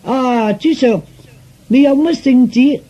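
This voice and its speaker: A man's voice: very high-pitched, slow, breathy edge, dull audio, voice pushed up, exaggerated voice. A man voicing an eager, servile, bumbling eunuch servant in a comic Cantonese story performance. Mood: fawning, eager. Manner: fawning, clownish, muddles court phrases.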